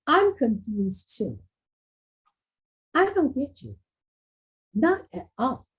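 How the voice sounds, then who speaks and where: A woman playing a frustrated, bewildered school principal with halting delivery in a screenplay read-through. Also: high-pitched, wildly swooping pitch, full character voice. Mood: frustrated, baffled. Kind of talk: screenplay table read acting. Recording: husky-sounding remote video call.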